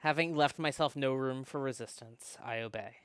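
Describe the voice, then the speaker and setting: A co-host of a book club who chats casually and reads a visual novel aloud, animated and prone to rambling asides. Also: medium pitch, normal speed, smooth, no echo. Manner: animated, rambling, self-interrupting. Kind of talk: casual chat mixed with dramatic read-aloud.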